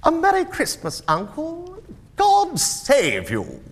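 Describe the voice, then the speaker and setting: High-pitched, cheerful young nephew. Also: male voice, huge pitch swings, a little slow, husky, exaggerated voice. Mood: cheerful greeting. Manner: exclamatory, warm-hearted pleading. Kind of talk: storybook character voice in dramatic reading.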